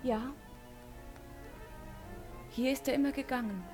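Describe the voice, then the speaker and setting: A thoughtful woman confiding in a friend in a radio play. Her voice sits fairly high, and music plays clearly behind her. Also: unhurried, darkish tone. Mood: pensive. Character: pensive woman confiding in a female companion.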